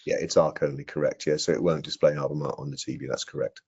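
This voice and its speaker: A middle-aged man with a husky voice giving a product training presentation on a video call and taking questions. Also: very deep voice, fairly even intonation, quick pace, darkish tone. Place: indoor room on a video call. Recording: dull, lo-fi video call audio.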